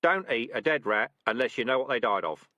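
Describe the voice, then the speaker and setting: A cocky veteran rat with a dry, needling wit, voiced by a man. His voice is bright and forceful, and it swings around a lot in pitch. It sounds close and dry, as if it is right on the mic. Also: animated, fairly quick, no echo. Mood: instructive. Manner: dry, sardonic, teasing. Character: cocky veteran male rat trap expert.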